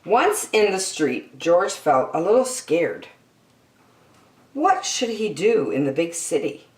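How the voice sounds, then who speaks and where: A woman hosting story time and reading a children's picture book aloud in a deliberate, expressive way for young listeners. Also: lowish voice. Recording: echoey room, distant microphone.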